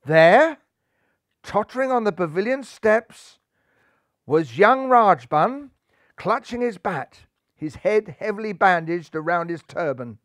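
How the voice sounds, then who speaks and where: A man's voice: sits high, expressive, slow pace, fairly bright tone, no echo. A man reading a storybook aloud as dramatic, theatrical narration, building suspense.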